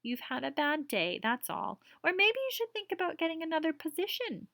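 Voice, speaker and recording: A woman playing a gentle elderly mother, lifted higher than the reader's own voice and drawn out slowly. Her tone is soothing and patient, close and dry. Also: big pitch swings, gravelly, no echo, heavily acted. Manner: slow, soothing.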